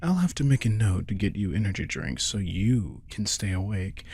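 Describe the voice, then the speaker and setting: A man playing a playful, smug vampire in an intimate roleplay audio drama, casual, sardonic and teasing, with a husky, gravelly voice. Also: on the low side, big pitch swings, moderate pace, darkish tone, full character voice. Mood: intimate, pleased. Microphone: close, dry studio microphone.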